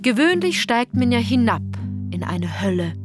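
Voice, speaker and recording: A woman's voice: on the high side, very animated, normal speed. An actress playing a sardonic female tour guide in a German radio play, addressing listeners directly with theatrical irony. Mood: ironic, storytelling. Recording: music under the voice. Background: music.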